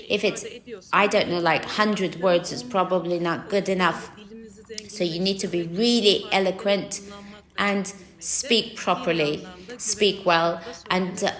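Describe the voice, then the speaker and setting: A woman's voice: mid-range voice, fairly bright tone, reverberant. Woman speaking on a panel. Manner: loose conversational, full of "like" and "kind of". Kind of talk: conference panel talk. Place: conference stage.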